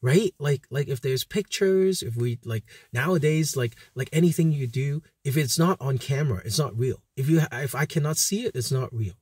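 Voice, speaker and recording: A man in his thirties giving an informal sermon as a pastor, speaking haltingly with frequent hesitations and repeated words. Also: medium pitch, darkish tone, breathy edge, dry recording, clean studio sound. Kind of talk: informal recorded sermon with Bible teaching. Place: inside a parked van at night.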